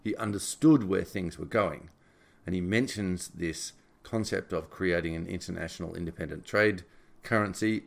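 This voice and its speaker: A man giving informal solo political commentary in a deliberate, emphatic and opinionated way. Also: sits low, gravelly. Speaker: podcast host and writer summarizing own article.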